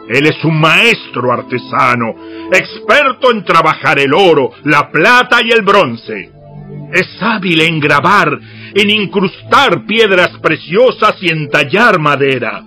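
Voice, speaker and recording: A solemn prophet for an audio bible, really slow and forceful, kinda high voice, music behind. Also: male voice, full character voice. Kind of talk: dramatized audiobook scripture acting.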